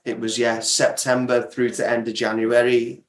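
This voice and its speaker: Echoey, low-key man explaining in conversation. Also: flat delivery, normal speed. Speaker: audio drama creator, interview guest. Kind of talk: conversational interview answers. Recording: echoey, roomy sound.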